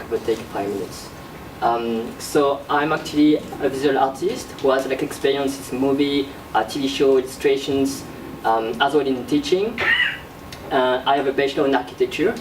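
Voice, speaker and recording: A young man, an artist born in Korea and raised in France, presenting his work in a classroom in a halting, casual way with many fillers. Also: mid-range voice, far from the mic, noisy background. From born in South Korea, raised in France. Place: classroom with projector screen. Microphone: far camera at back of room. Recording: roomy echo from across the room.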